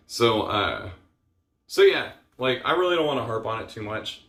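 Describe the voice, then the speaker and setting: A young man vents in a loose, rambling way, leaning on fillers and casual swearing as he talks through his frustration. His voice sits at an ordinary middle pitch. The room around him is echoey and roomy. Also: animated, normal speed, fairly bright tone. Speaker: vlogger. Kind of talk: casual personal vlog rant.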